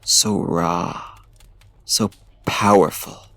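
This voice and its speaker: A man playing a suave, predatory ancient vampire in an intimate ASMR roleplay, with formal archaic phrasing, deliberate pauses and breathy drops toward a whisper. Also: sits low, steady tone, a bit bright, no room sound, full character voice. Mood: quietly menacing.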